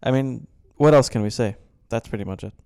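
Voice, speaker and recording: A man's voice: medium pitch, a little fast. A young man from Kuwait with an American-sounding accent, co-hosting a casual sports chat and giving his opinions. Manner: opinionated, animated when ranting. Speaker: podcast co-host.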